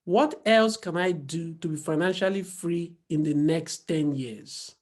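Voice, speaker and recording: A woman's voice: lowish voice, a little slow, a little mellow. A woman hosting an online investment club interview, earnest and encouraging. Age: 40s. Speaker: host.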